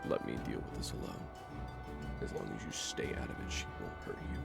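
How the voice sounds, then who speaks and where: A man playing a gruff, hot-headed and easily flustered monster fighter who mocks and blusters in an audio roleplay. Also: fairly quick, over loud music, natural voice. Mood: gruff, reassuring.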